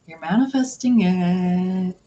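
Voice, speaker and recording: A woman's voice: on the low side, slow, warm tone, dull audio. A woman hosting a tarot livestream in casual, rambling chat with viewers, laughing often. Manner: chatty and giggly, laughs often; rambling, with restarts and filler words. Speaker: tarot reader and livestream host.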